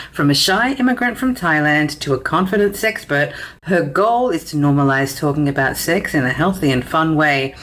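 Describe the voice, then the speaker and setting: A middle-aged woman hosting a podcast about sex and relationships, moving from a scripted intro into relaxed interview chat. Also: sits low. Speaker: podcast host, sexologist.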